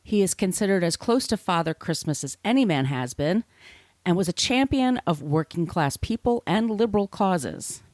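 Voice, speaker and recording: A woman's voice: fairly low pitch, lively intonation, normal speed, a little mellow, no echo. A woman hosting a podcast, reading scripted narration with casual, irreverent asides. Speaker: podcast host.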